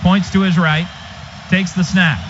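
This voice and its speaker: An American man doing live football play-by-play for radio, in an excitable, clipped running call of each play. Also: high-pitched, a little slow. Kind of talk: live sports commentary. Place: stadium radio booth. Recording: noisy, dull stadium radio broadcast.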